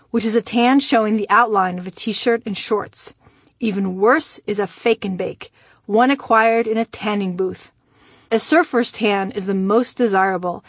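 A woman from Iran, a native Persian speaker, reading her own memoir aloud in a measured, wry storytelling manner. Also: medium pitch, breathy edge, no room sound, studio quality. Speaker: memoir narrator.

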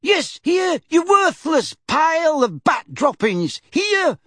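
A frantic elderly neighbor lady, shrill and scolding for an audiobook. Her voice leaps high and swoops wildly as she frets and shrieks. The tone is slightly husky and recorded dry and close. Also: very animated, a little slow, a bit bright, no echo, heavily acted. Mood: furious. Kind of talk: audiobook character voice.

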